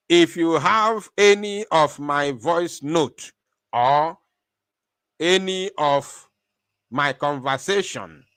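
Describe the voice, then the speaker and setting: A man's voice: fairly high pitch, a bit bright, no echo. A man hosting an online stream, talking in a defiant, taunting way, laughing often and repeating his phrases. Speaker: online show host. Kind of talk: animated live-stream monologue.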